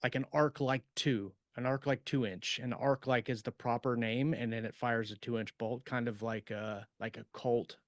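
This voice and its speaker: A man's voice: mid-range voice, fairly even intonation, moderate pace, few pauses, no room sound, studio quality. A man talking shop casually as a podcast host and writer.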